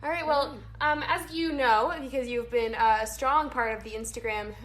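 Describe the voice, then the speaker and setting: A woman's voice: on the high side, steady tone, moderate pace, a bit bright, rough recording. A woman co-hosting a radio interview in a casual, chatty style. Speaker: radio show co-host, interviewer. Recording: noticeable background noise.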